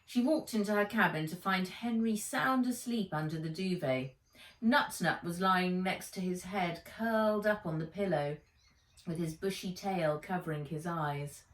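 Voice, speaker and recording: Slow-reading woman storyteller in a roomy space. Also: lowish voice. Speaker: author reading own book aloud. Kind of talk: dramatized children's audiobook narration. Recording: roomy echo, distant microphone.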